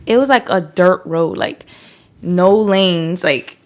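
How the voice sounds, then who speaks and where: A woman's voice: on the low side, slow. A young woman from Jamaica with a Jamaican accent, chatting as a podcast guest in a loose style full of fillers. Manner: laid-back, many fillers like "like" and "you feel me". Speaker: podcast guest, content creator. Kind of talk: casual chat.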